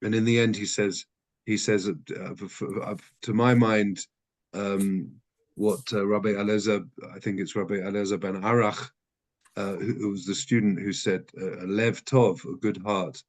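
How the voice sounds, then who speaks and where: A man with a fairly low voice leads an adult study class. He talks in a halting way, full of restarts and self-corrections, as he works through an idea out loud. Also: fairly even intonation. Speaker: class teacher. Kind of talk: teaching a text study class.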